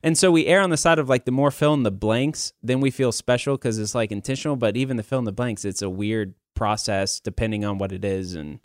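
A man's voice: medium pitch, brisk, hardly any pauses, no echo, studio quality. A man in his thirties hosting a casual podcast, talking in a rambling, candid, self-deprecating way. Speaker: podcast host. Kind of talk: casual conversational podcast talk.

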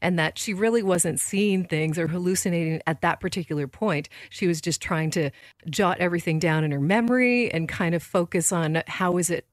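A woman's voice: fairly low pitch, normal speed, no room sound, clean studio sound. A woman hosting a casual book discussion, talking conversationally and putting questions to the group. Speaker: book club host.

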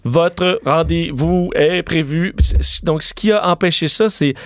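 Casting: male radio co-host, slightly high voice, casual, dry studio. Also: unhurried, no echo.

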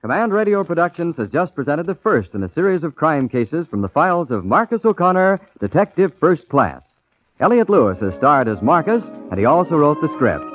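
Animated higher-pitched vintage male radio announcer. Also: fairly quick. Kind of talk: 1940s radio announcing, scripted. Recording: tinny 1940s radio recording, music.

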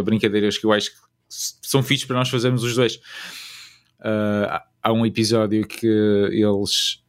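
A man with a European Portuguese accent giving casual interview answers with informal, hesitant phrasing. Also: mid-range voice. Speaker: interviewed father.

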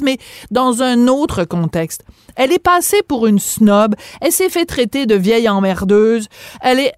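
Brief: French-accented female radio host, pointed and emphatic, bit high-pitched, dry studio sound. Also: a little mellow, dry recording. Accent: French from France. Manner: emphatic, rhetorical questions. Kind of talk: opinionated radio debate.

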